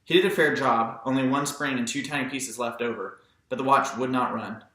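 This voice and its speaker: A man reads a novel as an amateur narrator, dramatizing it as he goes. His voice is bright and sits at a medium pitch. The room is heavily echoey. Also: fairly quick. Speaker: amateur audiobook reader.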